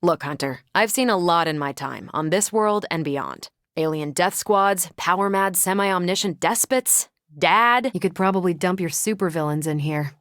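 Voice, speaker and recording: A woman playing a confident American superhero soldier for a video game, pitched a little low and recorded close and dry. She is tough but kind, with a sardonic, offhand way of talking. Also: moderate pace, hardly any pauses, a bit bright, no echo, close to an everyday voice. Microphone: close, dry studio microphone.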